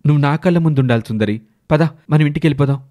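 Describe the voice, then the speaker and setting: A man acting a domineering village husband in a dramatic animated moral story. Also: on the high side, fast, close to an everyday voice. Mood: coaxing. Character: domineering village husband, scheming then wrathful.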